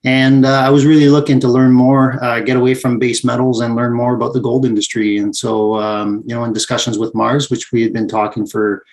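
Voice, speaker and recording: Man in his forties, mid-pitched, methodical interview answers. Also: fairly quick, hardly any pauses, poor audio. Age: 40s. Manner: steady and methodical, frequent "uh" fillers. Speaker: guest, VP of mining and projects.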